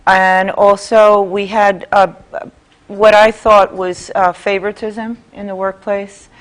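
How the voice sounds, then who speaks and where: A woman from Puerto Rico, a union member, answering questions in a studio interview with frequent pauses. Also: medium pitch, level tone.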